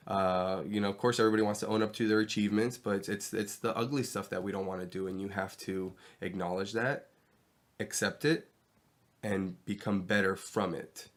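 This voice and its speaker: A man hosting his first home podcast, talking candidly and rambling with plenty of ums, his voice stuffy and nasal from a cold, recorded in a bedroom on a cheap mic. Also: deep voice. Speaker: podcast host. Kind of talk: casual solo podcast monologue. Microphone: inexpensive mic into a laptop.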